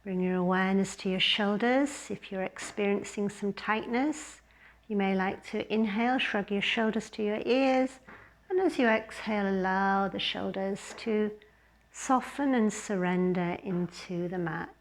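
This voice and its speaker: Slow-paced Englishwoman guiding relaxation. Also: mid-range voice. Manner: gentle, unhurried, with long pauses between short phrases. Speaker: restorative yoga teacher. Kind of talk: guided relaxation class.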